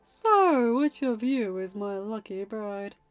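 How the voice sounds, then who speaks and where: A woman's voice: medium pitch, very animated, slow pace, clean tone, highs cut off, faint music behind. A woman voicing a smug, scheming male pony suitor who talks pompously and mockingly.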